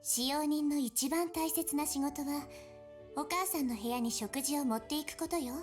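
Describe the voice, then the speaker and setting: Japanese woman doing lazy bossy little anime mansion girl, really high voice, talks fast. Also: over music, lightly acted. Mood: matter-of-fact, bossy. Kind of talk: Japanese anime visual novel acting.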